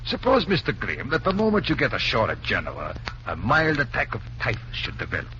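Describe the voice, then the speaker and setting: Calculating male Nazi agent, sharp voice, formal, measured, menacing, vintage radio drama, dull hissy recording. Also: medium pitch, huge pitch swings. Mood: smooth menace. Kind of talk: 1940s radio drama acting. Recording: muffled, noisy old radio recording.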